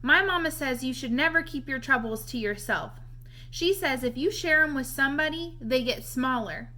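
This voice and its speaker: A woman's voice: high-pitched, lively intonation, normal speed, bright, forceful tone. A woman voicing a nosy, kindhearted little boy in a children's storybook, speaking in folksy, matter-of-fact advice. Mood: persistent. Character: earnest, nosy little red-headed boy, kind and persistent.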